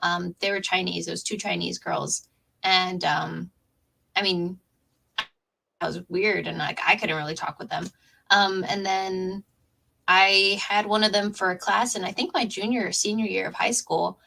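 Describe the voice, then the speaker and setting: A young woman hosting a podcast, chatting casually with quick, bubbly reactions. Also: mid-range voice, steady tone, gravelly, sharp tone. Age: young adult. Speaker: podcast host.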